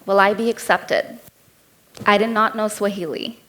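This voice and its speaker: A woman's voice: medium pitch, fairly even intonation, unhurried, a bit bright, poor audio. Woman, Indian accent, thirties, keynote speaker, candid storytelling, light humor. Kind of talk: personal keynote talk.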